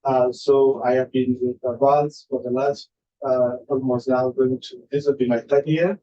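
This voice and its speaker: A man from South Sudan, testifying slowly to a legislative committee with many pauses and fillers. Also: mid-range voice, little pitch movement, warm tone. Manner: slow, halting, many uh fillers. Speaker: adult education student testifying. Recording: dull lo-fi room audio with faint noise.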